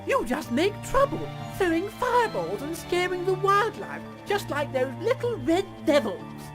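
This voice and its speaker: A woman's voice: very high-pitched, sing-song, measured pace, full character voice. A woman voicing a huffy, scolding little forest dryad in a video game. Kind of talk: video game cutscene acting. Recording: game music under the voice.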